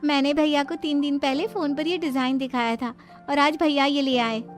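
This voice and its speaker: A woman's voice: high-pitched, fairly even intonation, normal speed, soft background music, voice pushed up, lightly acted. A woman playing an earnest, defiant young sister who stands up for herself in a cartoon family story. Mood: delighted. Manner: pleading, protesting.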